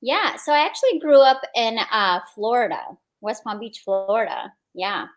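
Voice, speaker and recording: A woman's voice: fairly high pitch, very animated, normal speed. A woman with an American accent, speaking as an interview guest and casually telling personal stories. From born in India, grew up in the United States.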